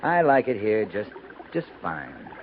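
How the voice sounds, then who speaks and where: A man's voice: on the low side, very animated. An American man acting a laconic, dryly teasing, weary cavalry captain in a 1950s radio drama, speaking tersely and without hurry. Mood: relaxed, teasing. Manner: terse, dry, unhurried. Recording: muffled, noisy 1950s radio recording.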